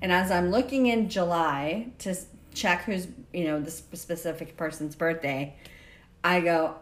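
A woman's voice: lowish voice, normal speed. A middle-aged woman hosting a podcast, chatting casually as she interviews a guest. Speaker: podcast host.

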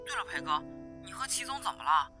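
A woman's voice: fairly low pitch, very animated, fast talker, sharp tone, soft background music, full character voice. A woman acting a young, caring, easily indignant friend in an audio drama, chatty and emphatic. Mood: concerned, chatty.

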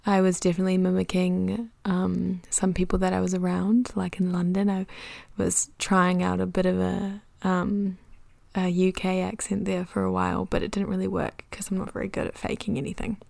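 Creaky-voiced young New Zealand woman. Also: fairly low pitch, level tone, moderate pace, darkish tone, no room sound. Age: young adult. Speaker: podcast host. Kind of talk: casual confessional podcast monologue.

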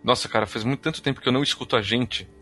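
A Brazilian man talks at a quick clip as a podcast co-host. His delivery is informal and joking, full of slang, with light music underneath. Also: mid-range voice, pushed and bright. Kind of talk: casual chat, reading listener comments. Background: background music.

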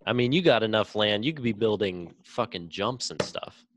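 A man's voice: on the low side, animated, normal speed, noisy background. A man chatting casually with friends as a podcast co-host, in an informal, sweary way. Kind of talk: casual chat among friends.

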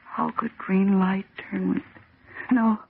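Terrified woman, gravelly, on tinny radio. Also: mid-range voice, flat delivery, slow. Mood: numb, dread. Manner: tremulous, pleading, intense. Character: terrified, trapped woman struggling to stay sane. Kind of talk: old-time radio drama acting. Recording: noisy, tinny old radio recording.

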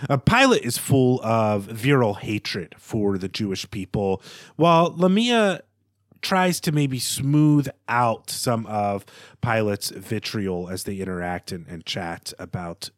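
A man co-hosting a literary podcast, talking through analysis in a measured, scholarly way with halting phrasing. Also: lowish voice, no echo, clean studio sound. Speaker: podcast co-host.

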